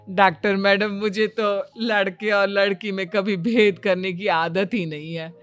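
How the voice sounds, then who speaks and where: A woman's voice: mid-range voice, steady tone, slow pace, voice pushed down, lightly acted. A woman voicing an older, fond and easily amazed mother-in-law in a Hindi cartoon story, exclaiming and questioning. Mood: fond, agreeable.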